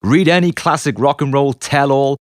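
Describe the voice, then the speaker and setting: A man reading his own memoir as an audiobook, in an expressive, confessional storytelling manner with dramatic pauses. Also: medium pitch, no room sound, studio quality. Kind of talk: scripted memoir narration. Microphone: close, dry studio narration mic.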